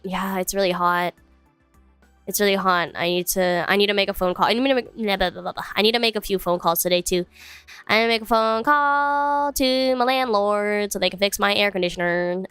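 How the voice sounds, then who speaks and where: A woman's voice: medium pitch, a bit bright, smooth, no room sound. A young woman streamer, a little congested, chatting casually with viewers from home and drifting between topics. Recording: dry close mic, faint music behind.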